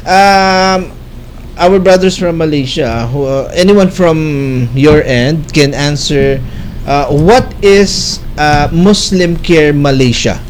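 A man's voice: on the high side, slow, slight background noise. A man from the Philippines hosting a radio interview, mixing Filipino and English and dropping frequent pious interjections. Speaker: radio program host.

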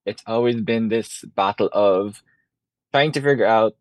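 A man's voice: on the low side, a little slow, no room sound, rough recording. A young man from Ireland, a fashion design student, speaking earnestly as a radio interview guest.

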